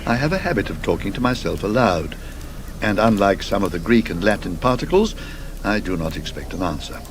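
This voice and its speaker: A man voicing a curious, genteel English gentleman traveller with a polite, musing, probing manner in an audiobook. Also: on the low side, expressive, a little fast, a little hiss or hum.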